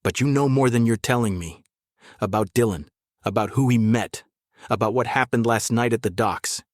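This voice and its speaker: An actor playing a middle-aged sheriff in a noir audio drama, a patient interrogator who is measured, terse and probing. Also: male voice, mid-range voice, no echo, lightly acted. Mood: quietly menacing. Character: male small-town sheriff, calm, patient, steely interrogator.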